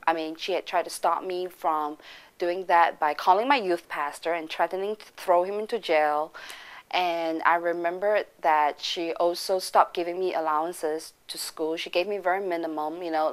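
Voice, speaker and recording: A woman with a voice pitched a little low for a woman. She tells her personal faith story as a church member, earnest and reflective, pausing often to gather her thoughts. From Singapore. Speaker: church member giving testimony.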